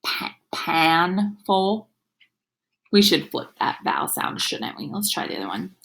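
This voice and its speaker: A woman's voice: fairly low pitch, big pitch swings, measured pace, gravelly, fairly bright tone, whispered. A woman teacher reading aloud to young children, explaining as she goes in an instructive way and sounding out words. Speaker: elementary school teacher.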